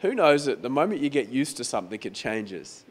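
A man preaching a sermon as pastor in a croaky, hoarse voice, talking conversationally and repeating phrases for emphasis. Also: mid-range voice, sing-song, fairly quick. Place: venue hall during a church service.

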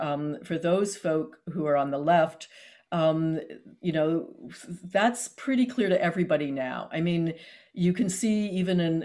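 A woman's voice: sits low, a little mellow. Woman in her 60s on a video call from home, earnest and emphatic, lots of ums and restarts. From Canada. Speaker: author in conversation. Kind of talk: casual video-call conversation.

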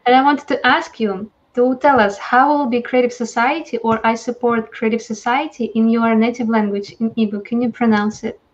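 A woman's voice: on the high side, steady tone, normal speed, hardly any pauses. Young woman host on dull call audio. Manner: polite, searching for words. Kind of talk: interviewer questions. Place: home office. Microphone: video call.

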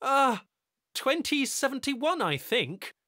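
A man's voice: very high voice, sing-song, slow, pushed and bright, no echo, studio quality, pitched above the performer's own voice, heavily acted. A man voicing a homesick young American man, anxious and stubborn, pleading and rising to indignant outbursts.

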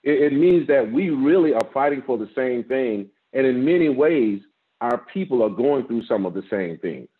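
A man in his fifties, a guest pastor, preaching a measured sermon with a strong voice from an office over a video call, rising with emphasis and repeating words as he builds. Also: mid-range voice, animated. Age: 50s. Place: office with framed certificates, indoors. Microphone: video call, laptop camera. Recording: tinny phone-like video-call audio.

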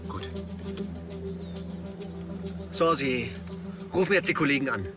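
An actor playing an edgy, cornered suspect in a police drama, terse and insistent. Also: male voice, fairly high pitch, animated, slow, far from the mic, music in the background. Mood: tense, demanding.

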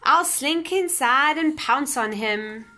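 A woman voicing a confident, reassuring animal in a storybook, with drawn-out, emphatic words. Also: sits high, animated, pushed and bright, slight background noise, pitched above the performer's own voice, exaggerated voice.

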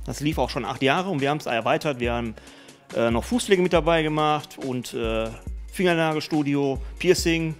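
A middle-aged man and spa owner answering interview questions in a brisk, colloquial way, speaking into a handheld mic. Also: on the high side, faint music behind. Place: indoor spa studio. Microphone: handheld mic held by host.

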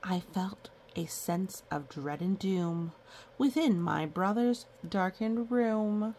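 A woman storyteller reads to children at an unhurried pace, with a noticeably creaky edge to her voice. She is playful, dropping in little comments and counting in a sing-song way. There is some background noise on the recording. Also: mid-range voice, lively intonation, mellow. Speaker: children's book read-aloud storyteller. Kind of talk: picture book read-aloud with chatty asides to children.

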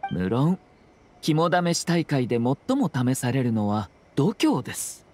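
An actor playing a composed young nobleman in an anime video game, speaking with polite, formal, measured phrasing and a subtly teasing slyness. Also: medium pitch, big pitch swings, a little mellow, a little hiss or hum. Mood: sly.

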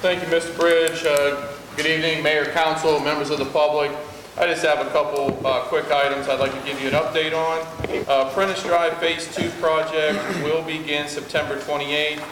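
A man, a city service director, giving a plain, halting spoken report to a council, full of fillers. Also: a little high, level tone. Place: large wood-paneled meeting hall. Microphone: far room camera. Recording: echoey hall from far off, noisy.

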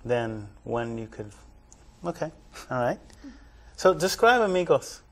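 A man's voice: medium pitch, a little slow, a little hiss or hum. Casual male TV host, loose and chatty. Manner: relaxed, loose phrasing with many fillers. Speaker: TV show host. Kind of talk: studio panel interview. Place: TV studio, panel at a long table.

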